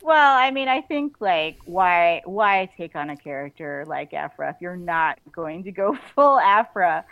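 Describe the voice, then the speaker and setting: A woman being interviewed on a casual podcast, telling stories in an animated way and acting out bits of dialogue. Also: fairly low pitch, unhurried, no room sound, muffled recording. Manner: animated storytelling, quotes imagined dialogue, giggly. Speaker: author, interview guest.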